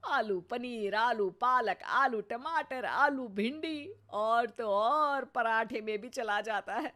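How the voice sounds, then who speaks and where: A woman's voice: fairly high pitch, slow, bright, forceful tone. A woman voicing a greedy, touchy older mother-in-law in a cartoon, with a sarcastic, sulky manner. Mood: relishing.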